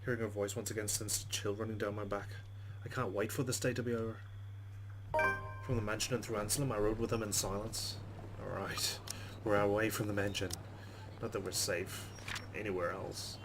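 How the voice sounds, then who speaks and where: A man's voice: lowish voice, level tone, moderate pace, background noise. A man hosting a let's play, reading game narration aloud dramatically and adding muttered, sweary asides. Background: game music.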